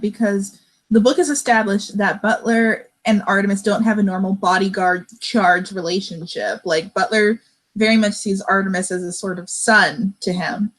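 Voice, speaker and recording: A woman giving her opinions conversationally in a casual book discussion. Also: medium pitch.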